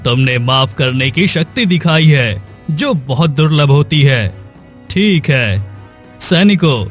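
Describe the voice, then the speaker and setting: A man's voice: on the high side, animated, takes it slowly, faint music behind. A man voicing a stern, formal king in a cartoon moral story. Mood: grave. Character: stern, weighty king holding court.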